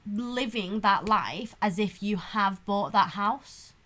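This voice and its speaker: A woman's voice: medium pitch, slow pace, sharp tone. Woman, twenties, podcast co-host, casual chat, laughing, filler-heavy. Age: 20s. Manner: chatty, laughs a lot, lots of likes.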